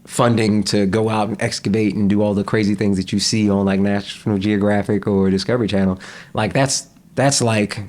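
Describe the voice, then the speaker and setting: A young man chatting casually as a podcast guest, relaxed, with frequent laughs and fillers. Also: on the low side, brisk. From United States.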